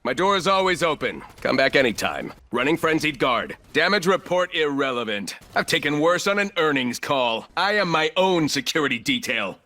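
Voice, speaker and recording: An actor playing a ruthless, arrogant corporate executive turned combat operator in a video game, speaking in clipped, commanding, coolly condescending lines. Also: male voice, on the high side, sing-song, moderate pace, hardly any pauses, sharp tone. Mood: cold, commanding. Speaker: playable military shooter operator.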